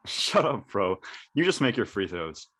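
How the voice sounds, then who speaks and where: A man's voice: fairly low pitch, big pitch swings, takes it slowly, sharp tone, breathy edge, exaggerated voice. A man acting a tired but diligent teenage boy athlete in a dramatic read, casual and slangy. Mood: teasing. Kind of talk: online dramatic table read.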